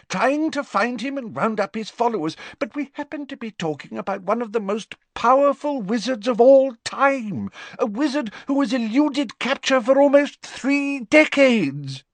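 A man reading aloud and voicing an anxious, flustered middle-aged wizard minister who talks in a high, hurried, defensive way. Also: big pitch swings, dry recording, heavily acted. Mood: heated.

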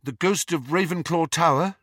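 Male reader as storybook teenage boy wizard, urgent and pleading, raised pitch, sharp edge. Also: normal speed, no echo, studio quality. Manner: fierce, impatient pleading, bursting into shouts.

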